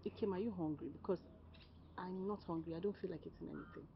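Woman as hospitable sister, lower voice, lo-fi with faint background hiss. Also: steady tone, quick pace, lightly acted. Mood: reassuring. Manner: soothing, reassuring. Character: caring hostess woman, soothing and hospitable. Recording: dull audio with faint ambience.

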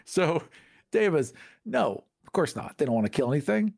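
A man's voice: on the high side, very animated, normal speed, vocal fry, darkish tone, slightly rough. A man co-hosting a podcast in a casual discussion about tabletop gaming. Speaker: podcast co-host.

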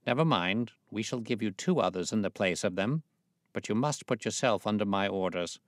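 A man voicing a self-assured, commanding gentleman detective, articulate and authoritative, in an audiobook. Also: on the low side, lively intonation, normal speed, no echo, studio quality, lightly acted.

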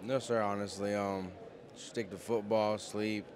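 A teenage Southern football player answering politely with "yes, sir" and some ums, into a handheld mic. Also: male voice, lowish voice, little pitch movement, a little slow, a crowd in the background. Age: teens. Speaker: high school football player. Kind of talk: casual interview answers. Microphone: handheld mic held by interviewer.

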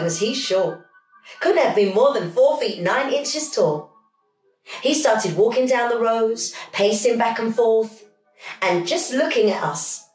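A middle-aged woman reading a children's story aloud in an expressive, dramatic storytelling style. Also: fairly high pitch. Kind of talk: animated read-aloud storytelling. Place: small home room, indoors. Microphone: camera a few feet away. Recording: echoey room with music underneath.

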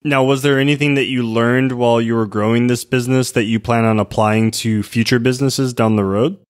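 A man's voice: medium pitch, steady tone, brisk, clean tone. A man hosting a business podcast, upbeat and reading listing details from a script before asking questions. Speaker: podcast host.

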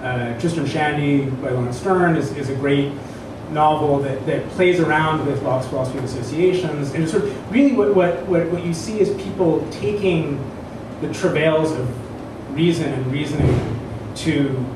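A man in his thirties or forties introducing a literary reading informally, halting and digressive as he searches for words. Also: on the high side. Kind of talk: informal spoken introduction before an audience. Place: indoor event room, at a standing microphone. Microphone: stand microphone, picked up from a distance. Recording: echoey room, distant mic, clear background noise.

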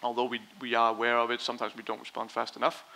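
A man in his thirties answering audience questions on a conference stage, explaining in a measured, diplomatic way. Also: medium pitch, monotone, gravelly, bright, forceful tone, low-quality recording. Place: conference stage in a large brick and concrete hall. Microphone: headset.

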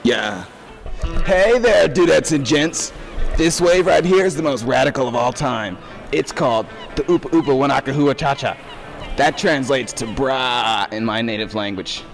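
A man's voice: medium pitch, vocal fry, lightly acted. A man voicing a laid-back, boastful surfer dude puppet in a slangy drawl for a comedy sketch. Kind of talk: scripted puppet sketch monologue.

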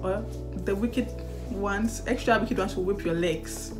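A woman's voice: mid-range voice, unhurried. Chatty Ghanaian woman over background music. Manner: chatty, animated storyteller with laughs and sighs. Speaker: vlogger. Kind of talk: casual chat.

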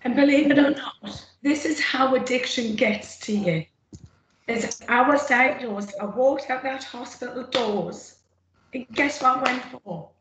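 A middle-aged woman with a rough, gravelly throat, giving an earnest, plain-spoken personal testimony from her notes on a video call. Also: high-pitched, unhurried. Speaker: former smoker speaking at a roundtable. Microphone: computer mic on a video call. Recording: echoey room, faint noise.

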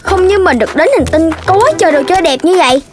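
A performer voicing a cheeky, eager young puppy boy in an animated cartoon, full of exclamations and childlike questions. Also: extremely high pitch, quick pace. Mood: gleeful, smug. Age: child character. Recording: music behind the voice.

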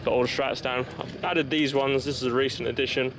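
Young male sailing vlogger, casual on-the-job commentary, action-cam audio with clear water and wind noise. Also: mid-range voice, fairly even intonation, fairly quick. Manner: chatty, running commentary while working on a boat. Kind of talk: casual vlog narration. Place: outdoors on a sailboat and boat ramp. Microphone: action camera. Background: boat, water and wind noise.

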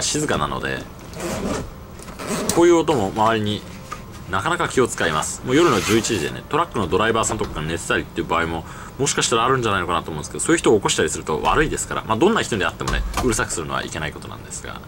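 A man's voice: lowish voice, very animated, rapid-fire, background noise. A young man vlogging his travels casually into a handheld camera, explaining costs and rules in detail, outdoors at night and then in a small internet cafe booth. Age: young adult. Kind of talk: casual travel vlog narration.

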